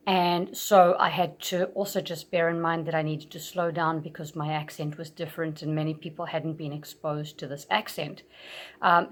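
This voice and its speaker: A woman from gives a loose, chatty lesson straight to camera. Her voice sits a little low, and she fills her pauses with ums and uhs as she circles back to restate her points. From South Africa. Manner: chatty, many fillers and restarts. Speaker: English teacher. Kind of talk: casual talk to camera.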